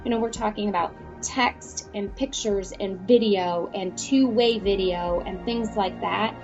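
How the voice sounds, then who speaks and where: A woman's voice: medium pitch, unhurried, a little mellow, soft background music. A woman, an advocate for dispatchers, explaining in an interview. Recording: slightly dull, lo-fi interview sound.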